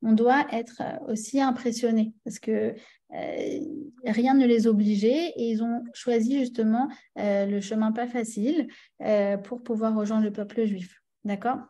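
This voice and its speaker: Mellow-voiced French woman teaching a class. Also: on the high side, fairly even intonation, measured pace. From France. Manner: chatty, many fillers and restarts, checks in with listeners. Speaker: religious teacher.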